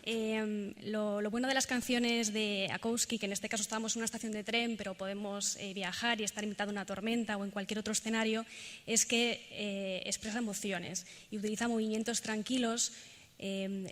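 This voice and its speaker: A woman with a Spain Spanish accent gives an informal presentation to an audience, hesitant and pausing often with fillers. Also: mid-range voice, fairly even intonation. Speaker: presenter giving a talk and demonstration. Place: large echoing indoor event space. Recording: reverberant hall, clear background noise.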